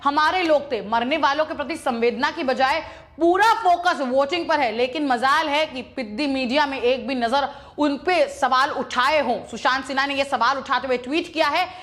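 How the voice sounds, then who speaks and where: A woman's voice: sits high, normal speed, a bit bright. A woman presenting opinionated news commentary in an emphatic, accusatory, repetitive way.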